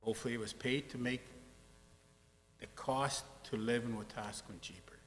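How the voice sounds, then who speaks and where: A man's voice: medium pitch, fairly even intonation, normal speed. A man speaking as a city councillor in a council debate, blunt and folksy in a plain-spoken way. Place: council chamber. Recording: reverberant chamber with faint hum.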